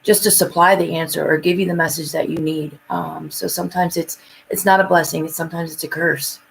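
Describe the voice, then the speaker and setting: A woman speaking casually and haltingly as a psychic reader in a live chat, often repeating her phrases. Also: low-pitched, steady tone, gravelly, slight background noise, rough recording.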